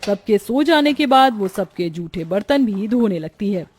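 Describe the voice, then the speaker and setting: A woman's voice: mid-range voice, lively intonation, normal speed, darkish tone, slight background noise. A woman narrating a scripted cartoon story.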